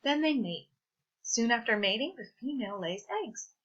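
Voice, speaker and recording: A woman reading a children's science picture book aloud, with clear, deliberate, teacherly phrasing. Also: a little high, expressive, a bit bright, highs cut off. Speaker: read-aloud presenter.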